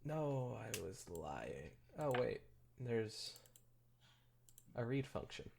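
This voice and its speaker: A man's voice: medium pitch, very animated, slow pace, gravelly, background noise. A young man co-hosting a podcast with casual, joking, teasing banter. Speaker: podcast co-host.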